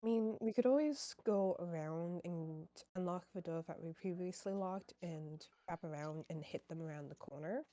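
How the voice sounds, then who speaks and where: Low, mellow-voiced woman playing a tabletop game. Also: moderate pace, few pauses, dry recording. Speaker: player in a tabletop roleplaying game. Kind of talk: casual game chat.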